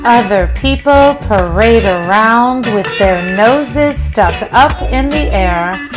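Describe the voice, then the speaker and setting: A woman music teacher reading a rhyming children's picture book aloud in a measured, deliberate way. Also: a little high, lively intonation.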